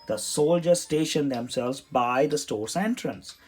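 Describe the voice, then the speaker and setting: A man's voice: fairly high pitch, unhurried, a little mellow. A man narrating a children's storybook as a read-aloud. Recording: music behind the voice.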